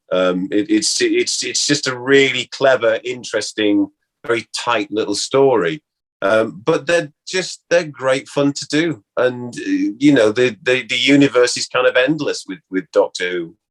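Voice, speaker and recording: A man chatting casually as an interview guest, reminiscing and thinking aloud in a halting way. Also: mid-range voice, poor audio.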